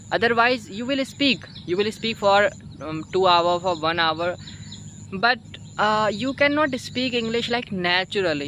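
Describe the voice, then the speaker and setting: Indian guy w hindi as first language, kinda high voice, halting english tips, repeats himself, noisy outside. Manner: halting, repetitive, emphatic, frequent "you know" and "okay" tags. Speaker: English-learning video host, learner. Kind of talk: informal spoken advice to viewers.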